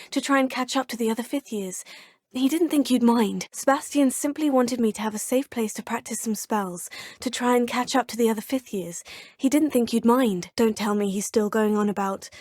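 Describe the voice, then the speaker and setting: A young woman voicing a polite, composed female student witch in a video game, speaking in a measured, formal way. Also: on the high side, a little mellow, dry recording, clean studio sound, lightly acted. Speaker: player character.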